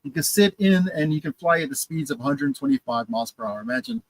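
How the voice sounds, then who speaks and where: A man co-hosting a casual podcast chat and reading out news items, animated and halting, with many fillers. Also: fairly high pitch, vocal fry, slight background noise, low-quality recording. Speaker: podcast co-host.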